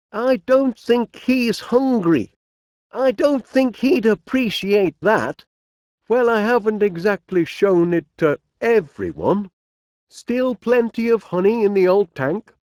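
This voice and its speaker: A man's voice: high voice, expressive, slow pace, a little mellow, no echo, clean studio sound. A man voicing a cheerful, fussy middle-aged inventor in a video game, with a Northern English accent and quaint, genial phrasing. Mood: polite. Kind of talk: video game character voice lines.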